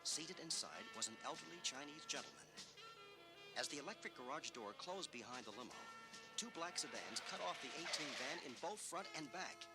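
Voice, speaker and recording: Animated male story narrator over music. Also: a little high, moderate pace. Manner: theatrical, suspenseful storyteller. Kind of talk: dramatic scripted story narration for a 1980s record.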